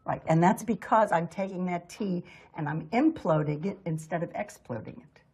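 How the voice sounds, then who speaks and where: A woman's voice: lowish voice, moderate pace, a little mellow, breathy edge. A woman coaching pronunciation, patiently drilling sounds and encouraging her student. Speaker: accent coach. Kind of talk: pronunciation lesson.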